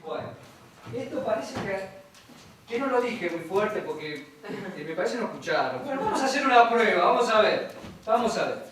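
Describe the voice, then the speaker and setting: An actor playing a male radio show director who coaxes a studio audience with loud calls, recorded far off in a theatre. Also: high-pitched, animated, normal speed. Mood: coaxing. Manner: coaxing, showman-like, calls out commands loudly. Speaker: stage actor. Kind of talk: live stage performance. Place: theatre stage. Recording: echoey theatre from far off, loud crowd and noise.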